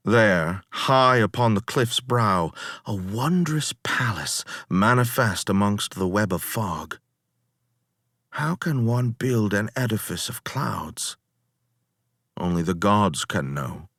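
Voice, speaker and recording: A man's voice: deep voice, a bit bright, no echo, studio quality, heavily acted. A man performing a weary, proud ancient Greek hero who recounts his sea voyages as theatrical dramatic poetry, in a grave, declamatory manner. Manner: grave, declamatory, deliberate storytelling with dramatic swells.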